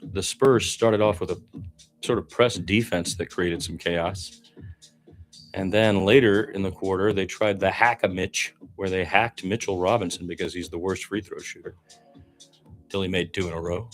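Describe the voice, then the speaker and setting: A man's voice: low voice, steady tone, moderate pace, soft background music. A man doing improvised live basketball play-by-play as a radio commentator, adding opinionated, reflective asides between calls.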